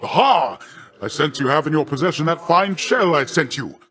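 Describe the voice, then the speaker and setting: A man's voice: a little high, lively intonation, normal speed, fairly bright tone. A man playing a boastful, jovial sea god in a video game, talking like a grandiose, theatrical uncle. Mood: boastful, amused. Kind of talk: video game character acting.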